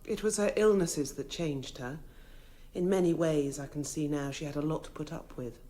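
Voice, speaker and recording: Actress as earnest, halting young witness. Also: female voice, low voice, mellow, lightly acted. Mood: earnest, pained. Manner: careful, polite, halting. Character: earnest, dutiful young English daughter testifying. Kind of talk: radio drama acting.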